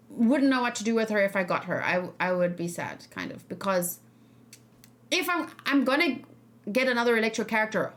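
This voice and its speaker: A woman with a slightly high voice chats as a livestream host. She thinks aloud in a loose, hesitant way, often rephrasing herself as she gives her reactions to viewers. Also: fairly bright tone. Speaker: streamer host. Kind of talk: casual livestream reaction and discussion.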